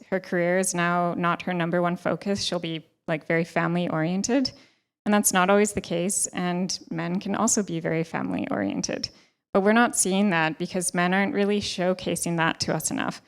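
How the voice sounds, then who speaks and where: A young woman gives advice from a panel stage, speaking into a handheld mic in a ballroom. Her voice is smooth and sits a little low, and her delivery is earnest and measured. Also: fairly even intonation. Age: young adult. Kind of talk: panel discussion talk.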